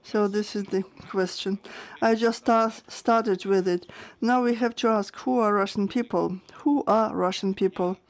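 A woman interpreting a panel discussion live, halting and searching for words with frequent restarts. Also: mid-range voice, fairly even intonation.

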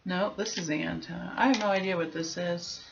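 A woman's voice: fairly low pitch, fairly even intonation, a little fast, vocal fry, highs cut off, background noise. A young adult woman giving a casual, informal product review, thinking aloud as she goes in a small room at home. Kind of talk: casual unboxing review chat.

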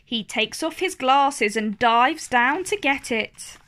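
A high-pitched woman reads a children's story aloud. Her delivery is slow and very animated, with careful wording for young listeners. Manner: expressive, clearly enunciated for young children.

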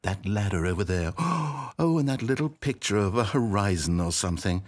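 A needy, sarcastic game narrator. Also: male voice, lowish voice, normal speed. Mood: wry. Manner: swings between pleading, sarcasm and excitement. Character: fussy, needy male narrator of an office game.

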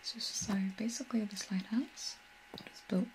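A young woman giving whispered ASMR commentary over a video game, breathy, soft, gentle and a little hesitant. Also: on the high side, a little slow, low-quality recording. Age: young adult. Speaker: video game streamer and tour guide.

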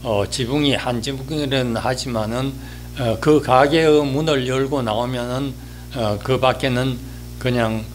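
Male columnist, 80s, mid-pitched, very slow and halting delivery of a reflective spoken column. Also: a little hiss or hum. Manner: halting, hesitant, with frequent drawn-out fillers. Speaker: newspaper-style columnist giving a talk. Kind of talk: reminiscing monologue column. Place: indoors, small office or studio, seated.

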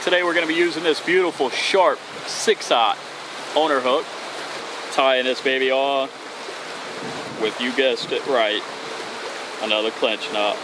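A man's voice: medium pitch, unhurried, long pauses. Upbeat man teaching outdoors by the water. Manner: upbeat, casual, talks while tying knots. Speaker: fishing channel host. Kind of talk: outdoor how-to tutorial. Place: outdoors on a fishing bridge over open water. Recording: outdoor recording with wind and water noise. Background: wind and waves.

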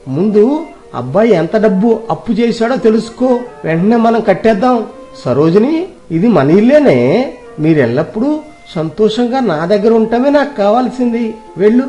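Man acting as sentimental, high-pitched village uncle. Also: warm tone. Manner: sentimental, effusive, familiar village address. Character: rich, trusting, affectionate village uncle, older man. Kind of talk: dramatized moral story acting.

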